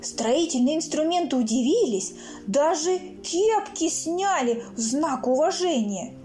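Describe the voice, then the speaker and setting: A woman reading her own children's stories aloud in an expressive, theatrical way, acting out sounds and characters. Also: high voice, a little slow. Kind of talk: expressive children's storybook narration. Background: music.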